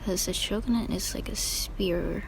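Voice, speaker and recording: A young woman streams a game with casual, reactive commentary. Her mid-pitched voice is slangy, and there is faint noise behind it. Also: fairly even intonation, moderate pace, creaky. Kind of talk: casual gaming commentary, reading dialogue choices aloud.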